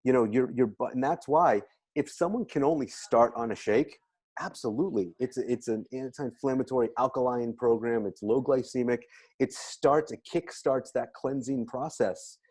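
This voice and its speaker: A man's voice: medium pitch, moderate pace, a little mellow, slightly rough, dry recording. A man speaking casually and emphatically as he promotes a product, repeating phrases to drive points home.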